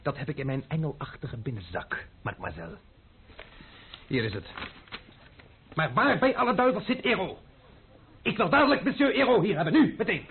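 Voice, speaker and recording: An actor playing an impulsive, hot-headed but loyal young gentleman in an old-fashioned Dutch radio drama. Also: a little high, very animated, moderate pace, long pauses. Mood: dry, impatient. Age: young adult. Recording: muffled, faintly noisy old radio recording.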